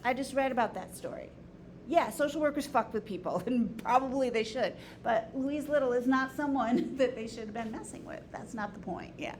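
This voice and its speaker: A woman's voice: a little high, normal speed. A woman leading an informal lecture and group discussion in an opinionated, emphatic way. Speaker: discussion leader, teacher. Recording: noisy room recording.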